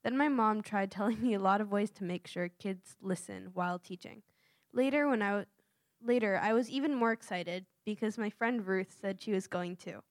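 A woman's voice: medium pitch, moderate pace, no room sound, clean studio sound. Earnest young woman giving a testimony. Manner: earnest, nervous, with small laughs. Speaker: church mission trip speaker. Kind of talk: reading a prepared testimony.

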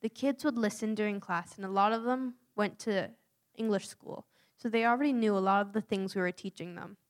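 An earnest young woman reads a prepared personal account aloud at a steady pace. Her voice sits in an ordinary middle range for a woman. She is from the United States and speaks as a youth mission volunteer. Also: medium pitch, fairly quick.

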